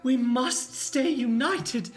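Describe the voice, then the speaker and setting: Woman as a high-voiced indignant young nobleman. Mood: rallying, resolute. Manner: declamatory, mock-grand, deliberate. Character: indignant young nobleman, dramatic, scornful of rivals. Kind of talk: improvised comedy radio play. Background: faint music.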